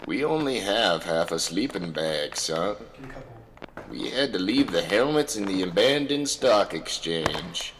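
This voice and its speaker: Slow, low folksy backwoods pa, acted by a man. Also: animated, fairly bright tone, in a large hall, slight background noise. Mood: wary. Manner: folksy, drawn-out rural phrasing. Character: wary, gruffly practical backwoods father in a wasteland. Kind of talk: audio comedy drama acting.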